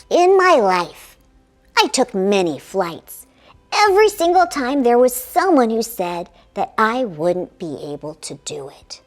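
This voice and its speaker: A woman's voice: high voice, exaggerated voice. A woman voicing a spirited, boastful young woman aviator with cheeky dramatic asides, in a children's storybook read-aloud. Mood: earnest, determined.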